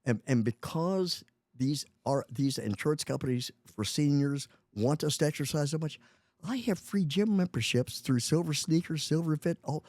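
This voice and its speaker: A man's voice: on the high side, moderate pace, darkish tone, no echo. A man in his eighties, a guest in a casual interview, talking with many restarts and chuckling often. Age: 80s.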